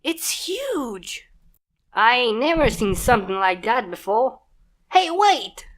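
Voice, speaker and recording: A woman reading a fan story aloud, voicing a small, eager country filly who talks in an excitable way. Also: on the high side, takes it slowly, pitched above the performer's own voice, heavily acted.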